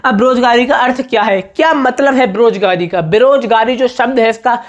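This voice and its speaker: A man with a slightly hoarse voice, giving an informal exam-tips lesson as a teacher, emphatic and repetitive, often checking that students follow. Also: very high voice, a little fast. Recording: dull, muffled lo-fi audio.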